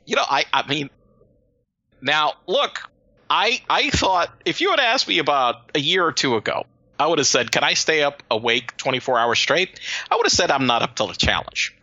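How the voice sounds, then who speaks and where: A woman's voice: deep voice, animated, moderate pace, sharp tone, no room sound, muffled recording. A woman in her forties from Puerto Rico, co-hosting a podcast in rambling casual chat with many restarts and asides. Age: 40s. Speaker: podcast co-host.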